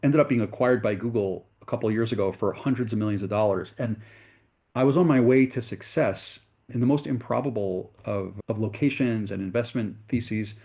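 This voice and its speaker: A man's voice: fairly low pitch, a little fast, mellow. A middle-aged man from the United States, a venture capitalist guest in an interview, telling his story earnestly and correcting himself as he goes.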